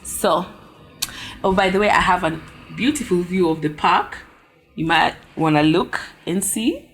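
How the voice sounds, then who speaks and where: A Kenyan woman vlogger telling a story to her viewers in a lively, chatty way, with frequent exclamations. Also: lowish voice, a little slow, faint music behind, low-quality recording.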